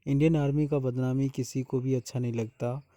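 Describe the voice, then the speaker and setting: बहुत दबी, गहरे टोन वाली आवाज़ का भारतीय आदमी. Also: male voice, medium pitch, flat delivery, brisk, dark tone, no room sound. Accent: Indian. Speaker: vlogger exposing a scam. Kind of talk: informal explainer addressed to viewers.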